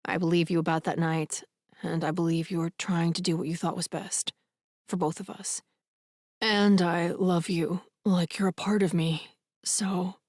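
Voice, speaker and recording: A woman voicing a hushed, gentle young man. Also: fairly low pitch, little pitch movement, unhurried, dry recording, studio quality, pitched below the performer's own voice. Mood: tender. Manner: soft, hushed, sometimes whispering. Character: young man, gentle and low-voiced lover.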